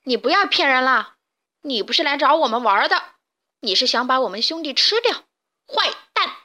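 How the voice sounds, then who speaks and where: A woman voicing the middle of three little pigs, a stubborn, eager piglet who talks quickly. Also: a little high, animated, a bit bright. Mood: defiant.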